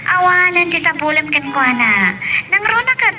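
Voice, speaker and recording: A cheerful, teasing young woman, played by an actress in a love-story audio drama. The voice is extremely high and sharply bright. It sits a little away from the mic. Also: sing-song, normal speed, far from the mic, a little hiss or hum, heavily acted. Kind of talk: Ilocano radio-style love drama acting.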